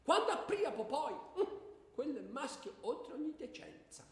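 An actor playing a prim, easily shocked schoolboy who stiffly recites a god's part in a comic stage play. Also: male voice, very high voice, big pitch swings, measured pace, exaggerated voice. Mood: declamatory. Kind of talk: comic stage acting, stilted recitation breaking into asides. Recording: echoey hall with long reverb.

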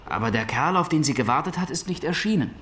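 A man's voice: a little high, animated, fast, a bit bright, a little hiss or hum. An actor playing a dutiful policeman giving a plain, slightly colloquial surveillance report in a German radio crime drama. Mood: matter-of-fact.

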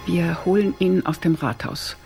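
An actress playing a theatrical, scheming older woman who leads a gang, declaiming decisively with a husky voice in a German radio crime comedy. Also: fairly low pitch, unhurried. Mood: commanding. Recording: music behind the voice.

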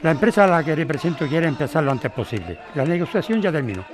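Man acting a fast, dismissive corporate lawyer, higher-pitched. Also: sing-song, crowd noise. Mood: impatient, defensive. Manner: defensive, impatient, condescending. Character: stubborn, dismissive corporate lawyer, father of an activist. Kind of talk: audio drama dialogue.

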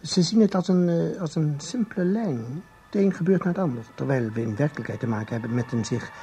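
An actor playing a learned time traveller in a radio play, calm, formal and didactic. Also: male voice, fairly high pitch, a little fast, faint music behind. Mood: didactic, composed. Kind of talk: Dutch radio play acting.

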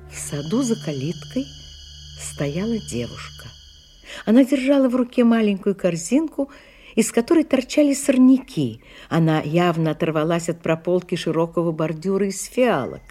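A woman's voice: fairly low pitch, animated, normal speed, mellow, soft background music, close to an everyday voice. A woman playing the wry, lyrical storyteller of a literary radio play. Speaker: narrator.

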